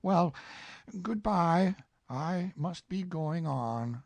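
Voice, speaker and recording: A woman voicing a gentle, simple male teddy bear who speaks in a slow, plaintive way. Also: lowish voice, animated, no echo, voice pushed up, full character voice.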